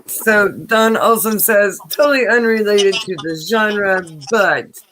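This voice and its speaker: A woman's voice: mid-range voice, slow pace, noisy background. A woman from Colorado co-hosting a casual live-stream chat, with brief, agreeable remarks. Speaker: co-host.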